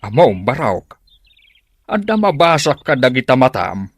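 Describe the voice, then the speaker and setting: A man's voice: mid-range voice, very animated, unhurried, husky, no echo, full character voice. A man playing a kindly old village uncle, folksy, musing and philosophical, in an Ilocano radio drama. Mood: knowing, philosophical.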